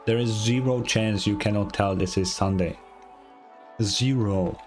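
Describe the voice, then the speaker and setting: A man's voice: fairly low pitch, unhurried, darkish tone, faint music behind. A man giving casual, informal live game commentary as a streamer, often incredulous and sweary.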